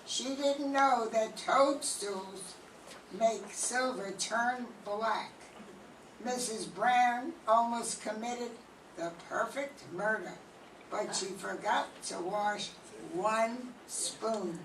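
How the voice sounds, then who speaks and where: An older woman playing a calm, methodical police sergeant in a 1940s-style radio mystery, explaining deliberately. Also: fairly high pitch. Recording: echoey room from far off, faint noise.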